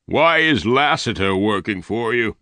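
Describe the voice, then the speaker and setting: A man's voice: on the low side, expressive, pushed and bright, no room sound. A man doing a pushy gray-haired old leader. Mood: demanding. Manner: demanding, hectoring rapid questions. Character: fat gray-haired older male community leader, domineering.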